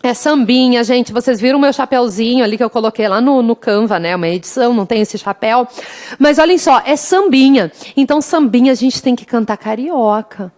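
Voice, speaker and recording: A woman's voice: fairly high pitch, fairly quick. A woman from the interior of Rio Grande do Sul with a southern Brazilian accent, teaching an online law exam prep class in a chatty, teasing way. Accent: interior Rio Grande do Sul Brazilian Portuguese. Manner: chatty, teasing, addresses students warmly as "gente". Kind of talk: lively online class, singing mnemonic samba.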